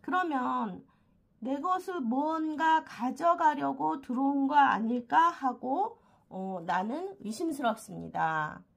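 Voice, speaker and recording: Slow, high-voiced woman with a cold. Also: expressive, vocal fry. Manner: halting, with frequent filled pauses. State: slightly hoarse throat from a cold. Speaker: fortune-telling channel host. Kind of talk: explanatory monthly horoscope talk.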